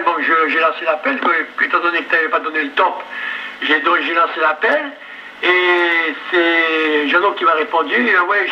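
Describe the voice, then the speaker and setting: A man's voice: fairly high pitch, pushed and bright. A man chatting casually as a radio amateur over a two-way radio. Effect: two-way radio transmission. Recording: dull, slightly noisy ham radio relay.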